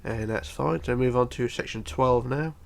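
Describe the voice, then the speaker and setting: A man's voice: medium pitch, normal speed, gravelly. A man with a bunged-up, congested voice giving a casual step-by-step building tutorial, counting aloud methodically. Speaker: tutorial host.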